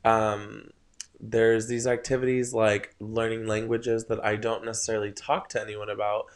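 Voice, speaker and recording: A young man with a somewhat low voice hosts a casual solo podcast late at night. Spanish is his first language, and he rambles through spiritual musings in a tired way. He trips over his words from time to time. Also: little pitch movement, moderate pace, clean tone. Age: young adult. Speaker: podcast host.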